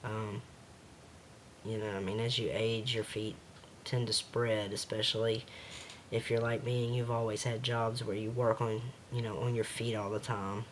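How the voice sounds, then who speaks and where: A man with a slightly raspy voice talking hesitantly in a casual home video diary, with many pauses and fillers. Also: fairly low pitch, little pitch movement, slight background noise.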